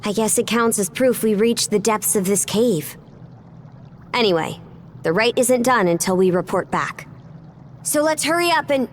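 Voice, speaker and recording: An actress playing a resolute young seafaring adventurer in a fantasy game, with a brisk, matter-of-fact delivery. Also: female voice, a little high, expressive, noisy background, lightly acted. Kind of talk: video game cutscene voice acting. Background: music.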